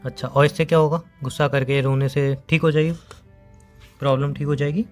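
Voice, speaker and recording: A man's voice: on the high side, level tone, a little fast, darkish tone, soft background music, lightly acted. A young man acting a patient, teasing boyfriend in a phone-call skit, speaking in a caring, coaxing way. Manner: coaxing, playful, soothing. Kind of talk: acted romantic comedy phone-call skit in Hindi.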